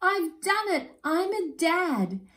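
A woman's voice: sing-song, a little slow. A woman voicing a pompous, lazy male emu father in a storybook reading, blustering and spluttering. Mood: overjoyed. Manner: blustering, high-pitched spluttering when upset. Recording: roomy echo.

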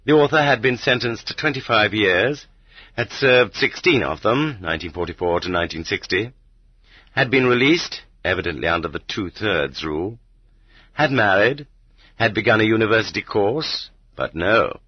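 Husky low-voiced male audiobook narrator. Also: expressive, dry recording. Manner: measured, dramatic delivery with rhetorical emphasis. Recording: slightly muffled, dull cassette audiobook.

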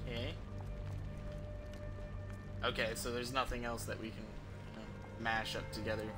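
A man's voice: lowish voice, level tone, a little slow, music in the background. A young man casually commentating on his game live, rambling and swearing as he thinks aloud. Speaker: game streamer.

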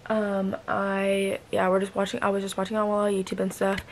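A woman's voice: medium pitch, monotone, fairly quick. A girl in her early teens chatting casually to camera in a daily vlog, rambling and often trailing off. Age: young teenager, about 13. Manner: rambling, trailing off with "but yeah". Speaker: vlogger. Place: bedroom at home, sometimes inside a car. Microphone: camera held at arm's length. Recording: clear background noise, handheld camera audio.

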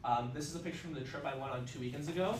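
A man's voice: medium pitch, rapid-fire. A young man whose first language is Russian gives a casual, upbeat student club presentation, full of fillers, recorded from across a classroom. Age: young adult. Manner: upbeat, chatty, many fillers. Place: classroom, indoors, mid-sized. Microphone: far camera. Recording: roomy, distant, faint ambient noise.

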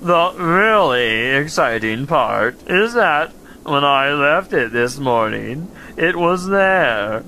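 A man's voice: a little high, expressive, sharp tone, slight background noise, exaggerated voice. A man playing a gloomy, self-pitying donkey in an audio drama, with mournful, understated complaints. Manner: slow, mournful, understated, long-suffering complaints.

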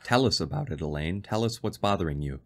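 An actor playing an earnest, concerned fiance who recounts a strange tale in a radio drama. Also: male voice, sits low, animated, quick pace. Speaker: lead actor and first-person narrator.

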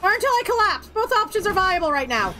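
A woman streaming a video game, with excitable, theatrical, ranting live commentary. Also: very high voice, fast, rough recording. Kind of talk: live gameplay commentary and chat. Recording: loud game music behind. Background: video game music and effects.

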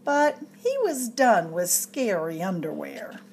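A woman reads a children's picture book aloud with a lively, swooping voice. She takes her time and stretches phrases for suspense like a practiced storyteller. There is some faint noise in the recording. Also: mid-range voice, creaky. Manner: expressive, dramatic storyteller delivery with drawn-out suspenseful phrases. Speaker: storytime reader at a public library.

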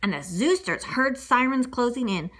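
A woman's voice: on the high side, lively intonation, moderate pace, noisy background. A woman reading a children's story aloud in a playful, exclamatory way, with ad-libs along the way.

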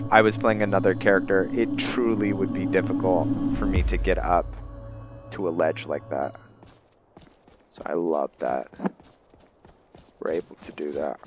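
A man giving unscripted, reflective roleplay gameplay commentary, thinking aloud in a halting, musing way. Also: sits low, muffled recording. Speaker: gamer narrating a roleplay playthrough. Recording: game rain and thunder behind voice. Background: game ambience of rain and thunder.

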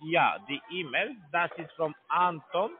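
Halting non-native man on a phone line. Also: on the high side, lively intonation, heavy background noise. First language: not German. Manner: halting broken German, repeats words. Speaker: scam call center caller. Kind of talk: phone call.

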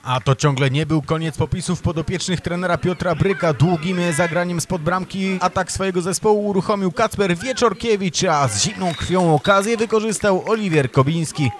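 A man reading a scripted voice-over of youth football match highlights, narrating each play in steady Polish. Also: normal speed, hardly any pauses, soft background music. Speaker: match commentator.

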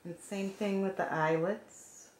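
A woman with a hoarse, froggy voice calmly talking through a craft painting tutorial at her work table. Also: medium pitch, slow pace. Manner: calm, gentle instructional talk while working. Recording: echoey room with faint noise.

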